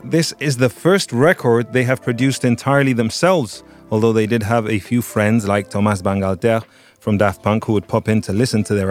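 A man presenting a radio music show, reading scripted links with wry, dry asides. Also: lowish voice. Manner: wry, dryly joking asides.

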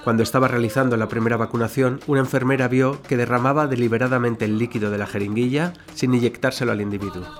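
A man's voice: medium pitch, steady tone, fast, hardly any pauses. A man from reading scripted news stories as a radio presenter. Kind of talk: scripted news-style narration. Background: music bed.

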